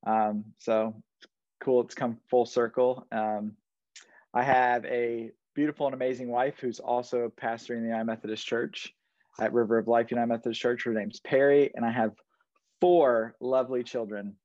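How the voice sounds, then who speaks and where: A man's voice: medium pitch, steady tone, moderate pace, darkish tone, no room sound, highs cut off. A man who works as a youth pastor, introducing himself in a friendly, easygoing way and laughing often. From Jacksonville, Florida. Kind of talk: casual self-introduction.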